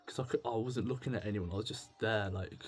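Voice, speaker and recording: A young British man talking casually to camera in a confessional vlog, rambling, halting and self-deprecating. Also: lowish voice, faint music behind. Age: young adult. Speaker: video blogger. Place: indoors at home with music on in the background.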